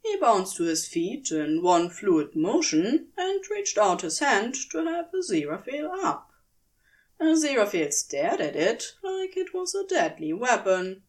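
Slow, expressive woman narrator. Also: mid-range voice. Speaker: audiobook-style narrator. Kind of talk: scripted fiction narration.

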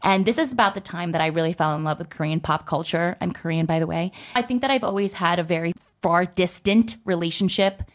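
A woman's voice: fairly low pitch, a little fast, hardly any pauses. A young woman telling a personal story to her viewers in a chatty way, full of "like" and "you know". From United States.

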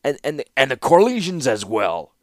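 A man voicing a cunning male warlord who speaks gruffly and deliberately. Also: medium pitch, huge pitch swings, darkish tone, no room sound, studio quality, pitched above the performer's own voice. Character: cunning middle-aged male warlord and ruler.

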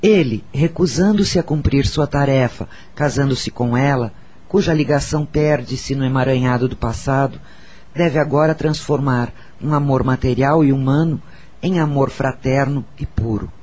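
An actor with a Brazilian accent playing a solemn, gentle spirit mentor in a radio drama, speaking in a measured, formal way. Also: male voice, mid-range voice, a little hiss or hum, close to an everyday voice.

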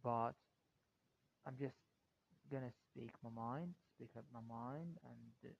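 A man's voice: slow, creaky, breathy edge. A man from Morocco, a Darija speaker, talking in an unscripted solo podcast, hesitant and waffling as he searches for words. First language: Moroccan Arabic (Darija). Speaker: podcast host. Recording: dull, muffled recording with faint rumble.

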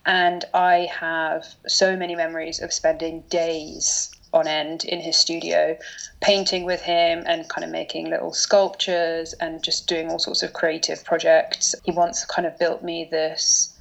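A woman's voice: deep voice, level tone, measured pace, creaky, rough recording. A woman in her thirties from London, talking as a podcast guest in casual chat, with a lot of fillers and restarts. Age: 30s. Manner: chatty, many fillers and restarts.

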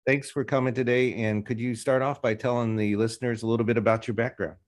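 A man's voice: medium pitch, fairly even intonation, quick pace. A middle-aged man hosting a podcast interview, talking in a friendly, conversational way. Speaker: podcast host, university president.

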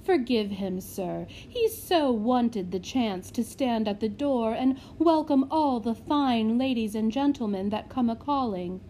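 Woman doing a gushing, flattering house matron. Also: a little high, a little slow, few pauses, slight background noise, rough recording. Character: fawning, gushing middle-aged woman running a house for children.

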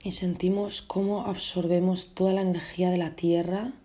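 Woman leading a guided meditation, very soft mellow tone, gentle short phrases w pauses. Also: fairly low pitch, flat delivery.

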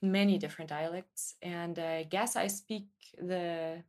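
A woman's voice: on the low side, steady tone, unhurried. A woman from Germany speaking casually and reflectively as an interview guest, hesitantly searching for her words. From Freiburg, Germany.

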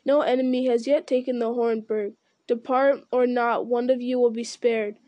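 A woman reading aloud voices a steadfast, commanding heir to a throne, calm and firm. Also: a little high, moderate pace, muffled recording, close to an everyday voice.

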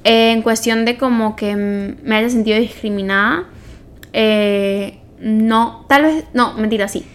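A young adult woman with a fairly high voice and a Venezuelan Spanish accent. She talks as a podcast co-host in an informal, conversational way, reworking her sentences as she tells personal stories. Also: measured pace. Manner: informal, self-correcting storytelling. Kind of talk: casual personal chat.

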